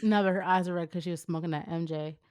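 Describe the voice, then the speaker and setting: A woman's voice: on the low side, steady tone, fast talker, a little mellow, smooth, no echo, studio quality. A woman co-hosting a casual comedic fan fiction read-aloud, slangy and teasing, often breaking into giggles.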